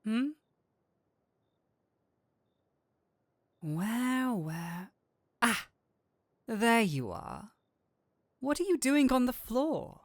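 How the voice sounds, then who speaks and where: A man plays a seductive, teasing incubus demon speaking straight to the listener in an audio roleplay. His delivery is theatrical and very slow, lingering over words with a flirtatious lilt. The voice sits close and dry on the mic. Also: extremely high pitch, sing-song, no room sound, heavily acted. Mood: theatrical, languid, then curious, probing. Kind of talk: scripted roleplay audio drama, addressing the listener.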